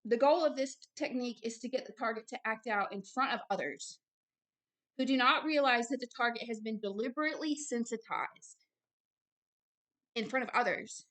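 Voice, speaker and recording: A woman's voice: on the high side, normal speed, fairly bright tone. A woman hosting informal religious commentary, reading aloud and adding personal asides in an earnest, insistent way, often repeating phrases for emphasis. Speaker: host and religious teacher.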